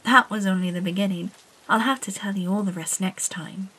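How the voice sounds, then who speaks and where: A woman playing a hushed, husky-voiced lonely girl. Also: medium pitch, fairly even intonation, a little fast, low-quality recording. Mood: determined. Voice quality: breathy, hushed storytelling. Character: lonely, curious young girl narrating her tale. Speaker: narrator and lead character. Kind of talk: audio drama narration.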